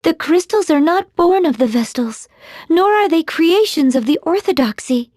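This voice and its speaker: A young priestess from a video game, high in voice and solemn in manner. She phrases things formally and with devout earnestness. The sound is dry and clean of any room. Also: lively intonation, moderate pace, darkish tone, no room sound.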